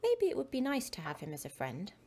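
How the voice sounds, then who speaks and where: A woman's voice: fairly high pitch, huge pitch swings, fast talker, darkish tone, full character voice. A woman voicing a young elephant boy in a bedtime storybook, childlike and insistent. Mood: eager. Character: young male elephant child, stubborn then friendly.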